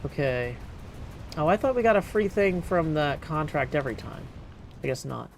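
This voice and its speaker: A man's voice: a little high, animated, moderate pace. A man giving casual live gameplay commentary as a streamer, thinking aloud as he makes his decisions. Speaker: game streamer. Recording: clear background game noise.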